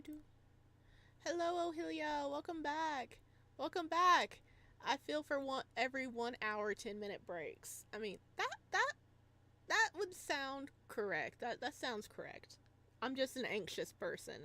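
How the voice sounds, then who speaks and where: A woman's voice: high voice, sing-song, measured pace, a bit bright. Bubbly woman livestreamer, casual and chatty. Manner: bubbly, giggly, rambling reactions to chat and story. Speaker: livestreamer and host. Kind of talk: casual livestream chat and reading a visual novel aloud.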